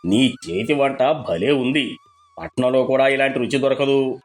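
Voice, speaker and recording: A man's voice: mid-range voice, a little slow, lightly acted. A man playing a kindly, grateful village uncle who gives affectionate advice in a moral story. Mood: affectionate, pleased. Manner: affectionate, advising. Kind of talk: dramatised storybook dialogue. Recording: music behind the voice.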